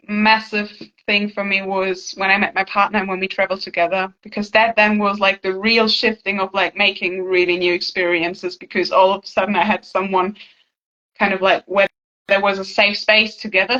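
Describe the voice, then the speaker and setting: A woman's voice: mid-range voice, sharp tone, dull audio. A woman from Germany, a native German speaker, talking reflectively and casually, searching thoughtfully for her words.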